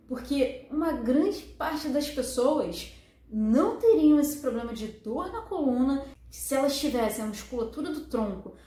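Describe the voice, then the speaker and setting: A woman with a high voice explains step by step, walking through diagrams as a fitness instructor. Her manner is friendly and full of affectionate diminutives. The room around her is noticeably echoey. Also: warm tone. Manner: friendly, uses many diminutives.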